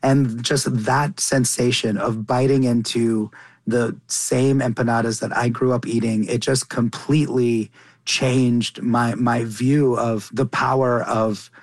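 Earnest young man with a flat voice. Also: mid-range voice, takes it slowly, no room sound. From Qatar. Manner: earnest, with frequent restarts and repeated words. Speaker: chef and cookbook author. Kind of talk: radio interview guest.

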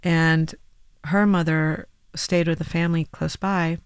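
A woman's voice: low voice, steady tone, a little slow, clear, resonant tone, no room sound, studio quality. A woman in her thirties, a musician, talking casually in an interview with laughs and "like". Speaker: musician guest. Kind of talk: interview conversation.

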